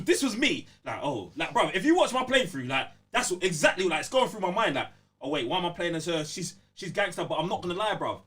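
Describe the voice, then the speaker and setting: A London man co-hosting a casual gaming podcast. His fairly high voice swings around with a lot of animation, and his talk is slangy and emphatic. He sounds a little distant from the microphone. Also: a bit bright. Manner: slangy, emphatic, repeatedly checking the listener follows. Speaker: podcast co-host. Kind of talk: casual game review chat.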